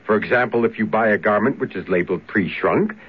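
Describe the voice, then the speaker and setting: A man's voice: fairly low pitch, fast talker, husky. An actor playing a helpful, instructive spokesman in a 1970s radio public service spot. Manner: instructive, emphatic. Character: helpful male consumer-advice spokesman. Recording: tinny, muffled old radio recording.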